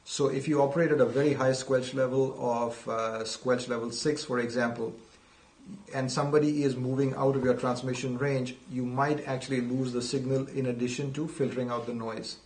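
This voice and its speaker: A man's voice: mid-range voice, steady tone, normal speed, darkish tone. Roomy, distant male product presenter. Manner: methodical, explanatory. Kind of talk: unscripted product demonstration.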